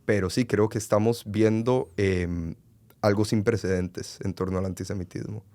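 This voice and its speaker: A man in his twenties from Costa Rica, a podcast guest speaking earnestly with frequent pauses and fillers. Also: lowish voice, fairly even intonation, warm tone. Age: 20s. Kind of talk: conversational interview.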